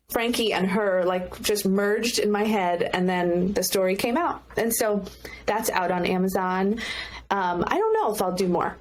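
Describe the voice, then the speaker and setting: A woman with a mid-pitched voice talks casually as an interview guest. She is candid and reflective, and corrects herself as she goes. There is some noise behind her, with small clicks. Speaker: podcast guest, children's book author. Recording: clear background noise, mouth clicks.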